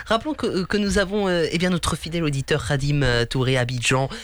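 A man from Normandy hosts a radio football talk show in a lively, chatty way. His voice sits fairly high and often leaps up in pitch. The studio sound is close and dry. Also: fast talker, no room sound. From Normandy, France. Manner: chatty, reads out listener messages, swoops up in pitch.

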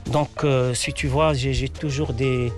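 A man's voice: mid-range voice, steady tone, a little slow, music in the background. A man from Tangier, Morocco, whose first language is Arabic, answering interview questions in French with many fillers and restarts. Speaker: interview guest, writer.

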